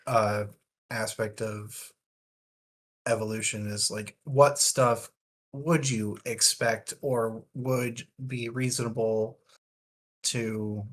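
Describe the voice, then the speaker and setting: A man's voice: fairly low pitch, fairly bright tone, a little hiss or hum. A man talking through ideas in a thoughtful, discursive way in a casual book discussion.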